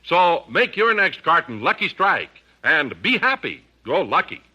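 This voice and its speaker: A man's voice: on the high side, very animated, a little slow, bright, forceful tone, poor audio. A man announcing in scripted 1950s radio commercial style, with an emphatic sales delivery.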